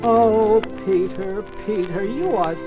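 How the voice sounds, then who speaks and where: Man voicing a scolding mother rabbit, raised pitch. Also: muffled recording, over music. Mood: exasperated scolding. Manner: exasperated, drawn-out scolding.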